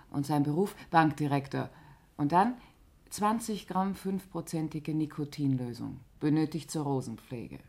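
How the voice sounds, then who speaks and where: An actress playing an earnest pharmacy assistant giving testimony in a 1960s German radio play, recalling details carefully and deliberately. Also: female voice, low-pitched, a little mellow.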